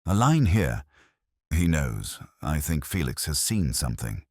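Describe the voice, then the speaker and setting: Man reading as a patient, deep-voiced police inspector. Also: big pitch swings, a little slow, breathy edge, no echo, clean studio sound, exaggerated voice. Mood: intent, calm. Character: patient, dryly witty male police inspector.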